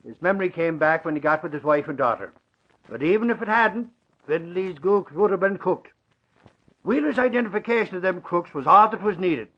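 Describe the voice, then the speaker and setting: A good-natured, blustery reporter sidekick in a vintage radio serial. The voice sits high for a man and is folksy and exclamatory, with a slightly dulled recording. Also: a little fast, highs cut off. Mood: chatty, puzzled. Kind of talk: old-time radio drama acting.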